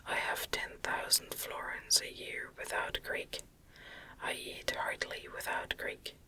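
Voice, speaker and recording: A woman whispering an ASMR reading of a classic novel in a soft, careful, deliberate delivery, close to the microphone. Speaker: audiobook narrator.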